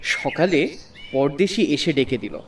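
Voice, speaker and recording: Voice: mid-range voice, expressive, slow pace, far from the mic, noisy background. A narrator telling a first-person story in a dramatic, scripted audiobook style. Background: background music.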